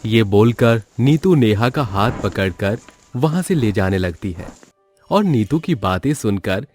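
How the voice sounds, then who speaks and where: A man's voice: lowish voice, expressive, a little slow, darkish tone, faint music behind. A man narrating a scripted children's story as the storyteller.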